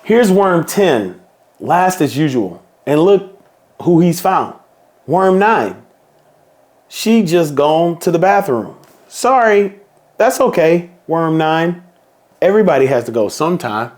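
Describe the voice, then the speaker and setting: A man reading a children's picture book aloud in a slow, playful storybook manner. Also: a little high, sing-song, a little mellow.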